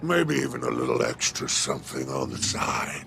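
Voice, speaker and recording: An actor playing a sly, menacing alien crime baron in a game cutscene, with a gravelly, mocking, theatrical delivery. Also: male voice, a bass voice, huge pitch swings, sharp tone, slight background noise, full character voice. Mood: gloating, conspiratorial. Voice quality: gravelly, creaking growl. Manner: drawn-out, sardonic, dropping to breathy asides. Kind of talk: dramatic video game cutscene acting.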